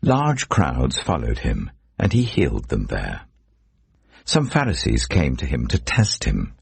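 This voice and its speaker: A man reading scripture as a narrator in a solemn, measured, dramatic storytelling delivery. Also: extremely low pitch, wildly swooping pitch, vocal fry, breathy edge, dry recording. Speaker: Bible narrator.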